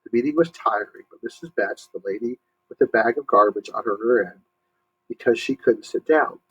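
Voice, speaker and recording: Man, storybook read-aloud, husky voice, lower-pitched, explanatory asides with questions. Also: moderate pace, fairly bright tone, rough recording. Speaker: storybook reader, teacher.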